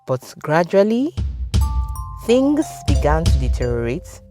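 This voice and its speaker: A woman with a Nigerian accent narrating a scripted folktale as a storyteller, with dramatic, deliberate phrasing. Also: deep voice, big pitch swings, over music.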